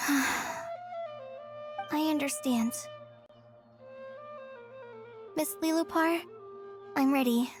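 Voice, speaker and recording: A woman voicing a gentle, timid young girl in a video game, speaking in an earnest, polite and hesitant way. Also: high voice, slow, music in the background. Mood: quietly accepting. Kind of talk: dramatic video game voice acting.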